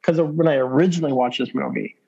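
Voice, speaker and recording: A man's voice: medium pitch, quick pace, gravelly, dark tone, husky, dull audio. A man co-hosting a casual movie podcast, swearing often and quoting scenes with energy. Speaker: podcast co-host.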